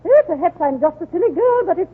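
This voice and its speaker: An actress playing an affected, fussy high-society matron in a 1930s radio comedy, fluttery and haughty. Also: female voice, lively intonation, fast. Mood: gushing, haughty. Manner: affected, fluttery, with tittering laughter. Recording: tinny, band-limited 1930s radio recording.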